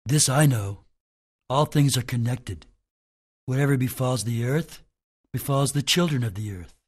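A man playing a collected sixty-year-old shaman-like sage in a radio drama, grave and measured, with a husky voice. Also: mid-range voice. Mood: grave, wise. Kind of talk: radio drama, solemn oratory.

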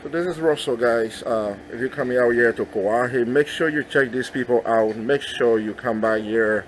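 A man casually narrating a family vlog at an outdoor cafe, relaxed and repetitive, filming on a handheld camera. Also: medium pitch, normal speed, slight background noise, low-quality recording. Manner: easygoing, repeats himself, plugs the place. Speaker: vlogger.

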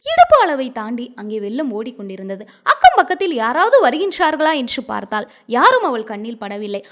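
High-pitched, animated woman storyteller. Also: fairly quick. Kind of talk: scripted narration of a moral story.

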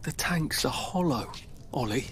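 A man's voice: medium pitch, lively intonation, unhurried, fairly bright tone, a little hiss or hum. A man playing an earnest, haunted British man in a dramatic video game scene, intense and wounded. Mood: bitter realization.

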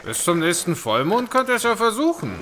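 A man plays a jovial tavern drinker in a radio play, teasing and eager. His voice is bright and forceful over faint noise. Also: high voice, very animated, brisk. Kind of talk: audio drama acting.

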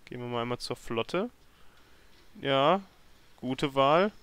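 A man with a mid-pitched voice hosts a let's play. He thinks aloud in a casual way as he plays. His commentary tends toward grumbling and dry sarcasm. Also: lively intonation, slow pace, a bit bright, smooth. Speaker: let's player hosting a gameplay series.

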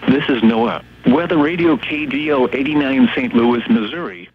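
A synthetic male text-to-speech voice reading weather radio forecasts and observations in a measured, formal, impersonal way. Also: medium pitch, phone-quality sound. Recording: weather radio broadcast with hum and static.

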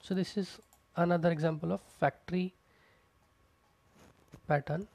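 A man's voice: fairly high pitch, steady tone, moderate pace, gravelly, darkish tone, no room sound. A man teaching programming over a screen recording, explaining step by step with fillers and repeated terms. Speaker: programming instructor. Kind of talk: screencast coding tutorial. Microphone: close mic, voice-over on screen recording.

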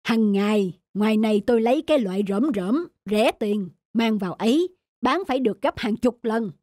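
A woman's voice: a little high, lively intonation, normal speed, darkish tone, no room sound, studio quality, natural voice. A woman playing a slick, boastful, greedy merchant man in a cartoon, breezy and bragging. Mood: smug. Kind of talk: cartoon voice acting.

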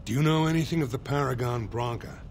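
An actor voicing a dignified older dwarf lord in a fantasy video game, speaking formally and with measured gravity. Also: male voice, mid-range voice, slight background noise, lightly acted. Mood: grave, formal. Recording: game dialogue over prominent music. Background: loud background music.

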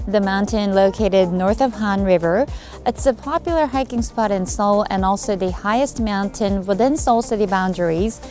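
A woman's voice: mid-range voice, darkish tone. A young woman in her twenties hosting an upbeat travel vlog, walking through cafes and streets, bubbly and exclamatory with gasps of delight. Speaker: travel video host. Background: background music.

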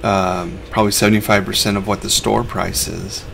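A man's voice: lowish voice, flat delivery, brisk, vocal fry, fairly bright tone, a little hiss or hum. A middle-aged man, a reseller who hosts a vlog, narrating over his footage in a laid-back, conversational way.

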